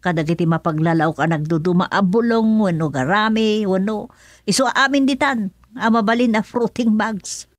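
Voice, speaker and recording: A woman's voice: medium pitch, moderate pace, no echo, close to an everyday voice. A woman playing a good-natured, hardworking country mother in a radio drama, chatty and explanatory. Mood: cheerful, explaining.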